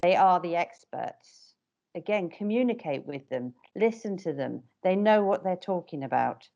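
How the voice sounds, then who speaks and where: Middle-aged woman, parent carer, blunt and emphatic, lowish voice, kinda muffled lo-fi call audio. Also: animated, normal speed, gravelly, warm tone. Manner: firm, direct and emphatic. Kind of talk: panel discussion on a video call. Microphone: video call.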